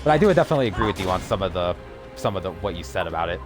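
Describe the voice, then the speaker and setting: A man's voice: low-pitched, lively intonation, very fast. A man hosting a live game stream, chatting casually and giving his opinions with enthusiasm. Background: faint game music.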